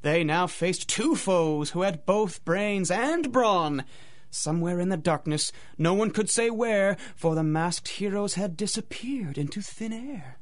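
A man's voice: sits high, lively intonation, unhurried. A man narrating a radio drama in theatrical, sing-song rhyming storybook verse.

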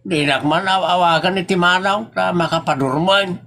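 A man's voice: sits high, moderate pace, pushed and bright. A man with an Ilocano accent playing a grumbling, easily flustered older husband in a comedy audio drama, with blustering exclamations. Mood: flustered, suspicious.

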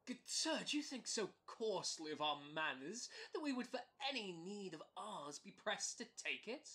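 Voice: medium pitch, very animated, normal speed, bright, forceful tone. An actor playing a scheming, mock-courtly, goading swindler in an early modern comedy audio drama. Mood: smoothly flattering. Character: scheming, fast-talking con man flattering gentlemen, bullying merchant.

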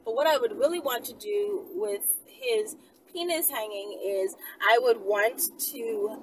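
A woman in her thirties talks casually to her viewers from inside a car. She rambles and thinks out loud, often putting questions to them. Her medium-pitched voice sits a little away from the mic, with light ambient noise around it. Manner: musing aloud, rambling, rhetorical questions. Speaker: vlogger, channel host. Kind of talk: casual unscripted vlog chat to viewers. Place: inside a car by a street, daytime. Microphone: camera at arm's length. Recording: distant mic, noisy car interior.

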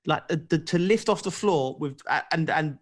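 A man's voice: fairly high pitch, moderate pace. A man in his thirties co-hosting a football show, telling stories over a video call from home with earphones. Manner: lively, laughing anecdotes. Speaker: co-host, former footballer. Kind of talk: casual chat. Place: home room, indoors.

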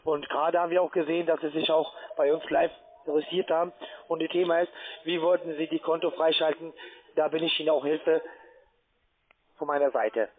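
A man with a distinctly high voice and a foreign accent, on a narrow, tinny phone line. He pushes a sales pitch in halting, broken phrases. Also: steady tone. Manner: halting, ungrammatical speech. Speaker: call centre account manager, scam caller.

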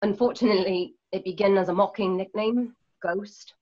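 A wise woman healer from a radio drama, played by an actress, with a mid-pitched voice. Her phrasing is measured, formal and composed. The recording is slightly dull, as over a video call. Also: steady tone, dark tone, natural voice. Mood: calm, reflective. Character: calm, wise woman healer, Delicate Poison. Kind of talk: online radio drama acting. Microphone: video call, home setup.

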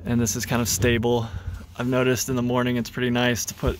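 A man in his thirties talking casually to camera as a vlogger, in a low-key, halting, matter-of-fact way. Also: monotone. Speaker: vlogger, host. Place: inside a truck, parked or in traffic. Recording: vehicle noise behind phone-recorded speech.

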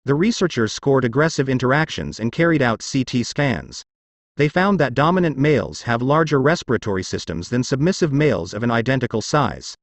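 A man's voice: mid-range voice, sing-song, fairly quick, dry recording. A man reading an informational practice passage aloud from a script. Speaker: reader of practice test passages.

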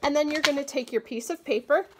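A woman educator giving a clear, patient, step-by-step craft demonstration at a table indoors. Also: high-pitched, quick pace, background noise. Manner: clear, patient instructional delivery. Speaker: agriculture educator. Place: small indoor room, table-top demo.

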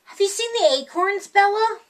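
A woman's voice: extremely high pitch, animated, normal speed, voice pushed up. A woman voicing an earnest young male dog from a picture book, speaking emphatically. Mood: anxious questioning.

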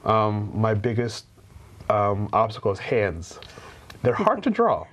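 A man's voice: on the low side, wildly swooping pitch, slow, a bit bright, dull audio. Man, 30s, eager, rambling, restarts a lot, small TV studio, lapel mic. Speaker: guest comic artist. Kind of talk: casual studio interview.

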